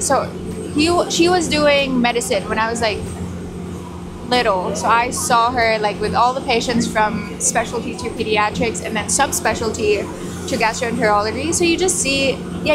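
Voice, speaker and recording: A young woman from the Philippines chats casually with a fairly high voice. She is informal and joking, as a pageant contestant among friends. Loud music plays behind her. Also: moderate pace. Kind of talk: casual chat.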